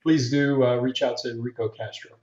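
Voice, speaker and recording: A man's voice: medium pitch, steady tone, moderate pace, a little mellow. Male co-host on a dull, echoey line. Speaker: podcast co-host. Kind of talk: casual podcast chat.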